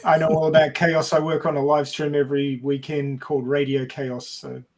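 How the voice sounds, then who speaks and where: A man's voice: a little high, completely flat delivery, normal speed. A man with an Australian accent, chatting casually as a podcast co-host. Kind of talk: casual chat.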